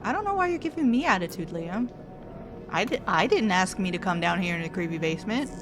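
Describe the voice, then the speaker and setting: A woman's voice: mid-range voice, sing-song, brisk. A woman streaming a game, chatty and playful, often talking to herself. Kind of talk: playing a game live. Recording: game music loud under voice.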